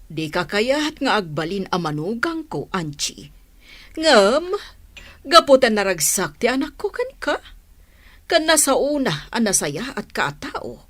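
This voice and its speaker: A woman acting a frank, well-off middle-aged mother in a radio drama, brisk and matter-of-fact with an Ilocano accent. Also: mid-range voice, very animated, no room sound. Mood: frank, accepting.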